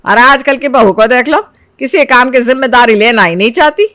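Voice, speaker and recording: A woman's voice: fairly high pitch, fairly quick, fairly bright tone, no echo, studio quality, natural voice. Woman acting a lecturing, scheming mother-in-law. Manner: lecturing, self-assured. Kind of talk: cartoon moral story voice acting.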